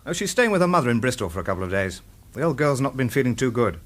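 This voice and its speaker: An actor playing an easygoing, hospitable friend, breezy and solicitous, in a radio drama. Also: male voice, mid-range voice, big pitch swings, very fast. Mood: friendly, concerned.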